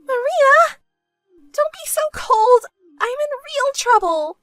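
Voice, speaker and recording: A woman's voice: extremely high pitch, very animated, moderate pace, sharp tone, no echo, faint music behind, voice pushed up, full character voice. A woman voicing a spoiled, selfish younger sister in a dramatized story, whiny, melodramatic and pleading. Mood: desperate pleading.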